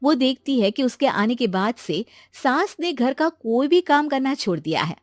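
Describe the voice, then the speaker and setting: A woman reading scripted narration for an animated moral story. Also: high-pitched, normal speed, no echo, clean studio sound. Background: music.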